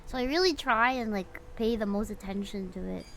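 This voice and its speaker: A woman livestreamer chatting casually with her viewers in an expressive, playful way while she plays a story game. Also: on the high side, brisk, slight background noise. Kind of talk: casual livestream chat mixed with reading game dialogue aloud.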